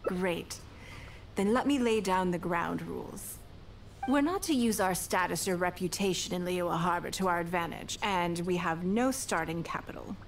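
An actress voicing a poised, wealthy female leader in a game, speaking in a measured, refined, self-assured way. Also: lowish voice, animated, slight background noise. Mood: composed. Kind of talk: game character dialogue.

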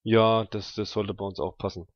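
A man's voice: fairly low pitch, flat delivery, moderate pace, dry recording, muffled recording. A man playing a tabletop roleplaying game, chatting in character with teasing, grumbling banter. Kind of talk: casual in-character game chat.

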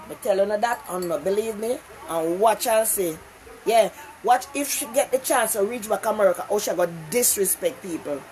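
A woman's voice: a little high, slight background noise, rough recording. An older Jamaican woman hosting a casual live-stream talk show, chatting animatedly with viewers from her living room and repeating herself for emphasis. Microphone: phone camera close up.

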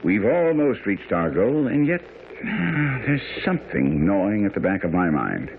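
A sharp gentleman detective, deep measured voice, old noisy radio drama sound. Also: big pitch swings. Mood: satisfied, pondering. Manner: formal, measured, explanatory. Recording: muffled, noisy vintage radio broadcast.

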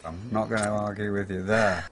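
A man's voice: lowish voice, steady tone, normal speed, a bit bright. A man playing a long-suffering husband in a comedy bedtime sketch, politely weary and dryly sarcastic. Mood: placating. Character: long-suffering, placating, exasperated husband in bed. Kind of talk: domestic comedy sketch dialogue.

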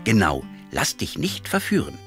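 Very low, animated, scolding cartoon companion. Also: female voice, moderate pace, soft background music, heavily acted. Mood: stern, nagging. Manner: admonishing, nagging, exclamatory. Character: scolding, watchful companion of a little king. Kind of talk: children's cartoon narration and dialogue.

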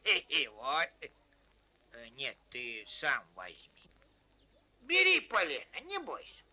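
Sharp-voiced, tipsy old carpenter. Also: male voice, fairly high pitch, huge pitch swings, slow, exaggerated voice. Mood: sly, coaxing. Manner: muttering, exclaiming, repeating himself. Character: tipsy, comical old male carpenter, jumpy and sly. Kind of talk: vintage cartoon character acting. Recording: dull old film soundtrack with faint music.

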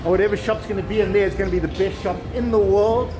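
A man's voice: high voice, steady tone, fast talker. A man in his fifties giving an enthusiastic walking tour of a shopping terminal, exclaiming and chuckling as he goes. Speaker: travel vlogger. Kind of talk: casual walking tour chat. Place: outdoor shopping terminal, windy. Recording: windy outdoor street noise and crowd.

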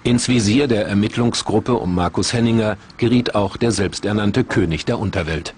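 A man reading the scripted narration of a television documentary in an even, measured way. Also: fairly low pitch, husky.

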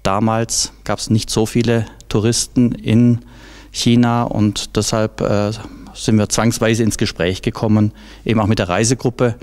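A man's voice: lowish voice, flat delivery, unhurried, darkish tone. A middle-aged man from southwest Germany telling anecdotes informally in an interview, into a handheld microphone. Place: large indoor hall.

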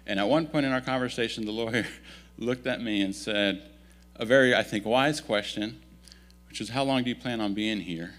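A man in his thirties who grew up in Italy, giving a relaxed, self-deprecating missionary update in a church at a stand mic. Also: fairly low pitch, normal speed. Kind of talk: casual storytelling talk to a congregation. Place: church sanctuary, indoors. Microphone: stand microphone.

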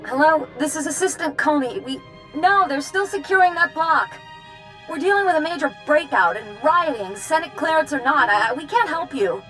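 A woman voicing a harried, earnest young prison assistant in a video game, flustered and halting. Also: high-pitched, animated, sharp tone, soft background music, low-quality recording. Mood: flustered, stressed.

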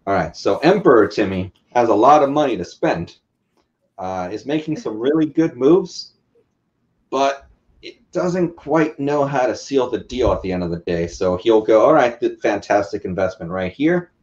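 A man's voice: mid-range voice, expressive, normal speed, a little mellow. A man in his twenties chatting casually as a podcast guest, explaining things in everyday language. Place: living room couch, indoors. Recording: slightly muffled video-call audio.

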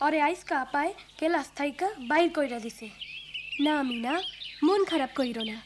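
A woman voicing a kind, encouraging young village woman in an educational cartoon, gently explaining with a rural Bangladeshi Bengali accent. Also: sits high, moderate pace, background noise, lightly acted. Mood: consoling. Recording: music and birdsong behind the voice.

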